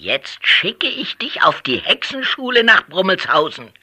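Casting: cackling old witch mother for a children's audio play, very sharp rasp, slow scolding delivery. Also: mid-range voice, very animated, full character voice. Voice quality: raspy, cackling. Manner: theatrical scolding, drawn-out exclamations.

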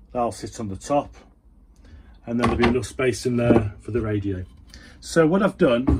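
A middle-aged man casually talking through a hands-on build, thinking aloud as he works in a small indoor workshop. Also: mid-range voice. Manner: thinking aloud while working with his hands. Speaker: DIY radio hobbyist vlogger. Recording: clear background noise, room ambience.

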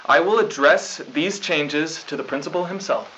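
A young man playing an earnest, self-important high school student president, reading stiff, formal mock news at a desk. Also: normal speed, sharp tone, slight background noise. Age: young adult. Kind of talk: scripted mock 1990s school news broadcast. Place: small studio news desk. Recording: dull lo-fi 1990s videotape.

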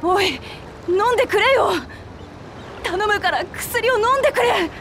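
A woman voicing a young lion-woman warrior queen in a video game, passionate and earnest, with rough, tomboyish speech. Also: extremely high pitch, animated, measured pace, a bit bright, a little hiss or hum, exaggerated voice. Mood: desperate pleading. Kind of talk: dramatic video game voice acting.

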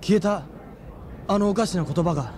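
An actor playing a level-headed, reassuring teenage schoolboy hero in a radio drama. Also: male voice, sits high, moderate pace, darkish tone, slight background noise, natural voice. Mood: puzzled.

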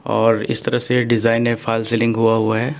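A man's voice: medium pitch, completely flat delivery, moderate pace. A man casually narrating his own travel vlog, filmed on a handheld phone camera, with frequent hesitation sounds. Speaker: travel vlogger. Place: hotel room and roadside at night. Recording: phone recording with background noise.

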